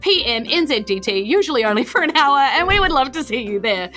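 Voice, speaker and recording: A woman with a New Zealand accent, working as a game master and host, improvising narration and chatting informally in an animated way. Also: sits high, fairly quick, over music. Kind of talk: improvised tabletop game narration and live-stream hosting.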